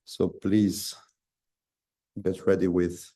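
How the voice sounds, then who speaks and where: A man, a government official, giving relaxed opening remarks with a few halting pauses. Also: low-pitched, slightly rough. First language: Albanian. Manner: relaxed, joking, halting with fillers.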